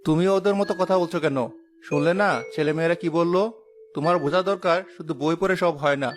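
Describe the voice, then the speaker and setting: A man's voice: fairly high pitch, fairly even intonation, quick pace, faint music behind, close to an everyday voice. Man voicing a firm, kindly village father. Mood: reasoning.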